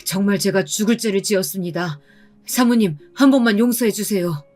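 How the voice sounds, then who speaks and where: A woman voicing a middle-aged male department manager who begs loudly and with exaggeration. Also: mid-range voice, brisk, faint music behind, lightly acted. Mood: pleading. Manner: loud, exaggerated begging.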